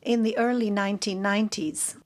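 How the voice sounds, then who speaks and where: A woman interpreting a formal courtroom statement into English, measured and halting, correcting herself as she goes. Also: mid-range voice, fairly even intonation, a little mellow.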